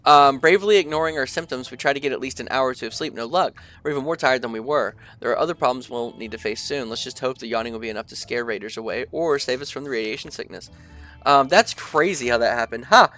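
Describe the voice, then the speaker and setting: A young man with a stuffy nose chatting casually through a gameplay session, reading the game's text aloud and thinking out loud with lots of fillers. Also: medium pitch, fast talker, faint music behind. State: stuffy from allergies. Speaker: gaming video creator. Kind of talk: casual let's-play commentary, reading game text aloud.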